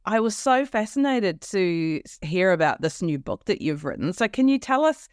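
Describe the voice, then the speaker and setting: A woman with an Australian accent hosting a travel podcast, talking with lively enthusiasm and frequent restarts. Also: on the low side, moderate pace, clear, resonant tone, no echo, studio quality. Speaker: podcast host. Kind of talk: casual interview chat.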